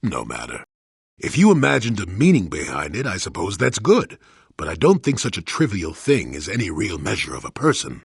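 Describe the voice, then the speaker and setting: A man playing a cantankerous, wry old hermit in a video game, with a raspy, gravelly voice and a crotchety, theatrical delivery. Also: low-pitched, wildly swooping pitch, moderate pace, dry recording, clean studio sound, full character voice. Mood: gruff, teasing. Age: older. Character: cantankerous wry old male hermit, a Force-sensitive former Jedi. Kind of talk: video game character voice acting.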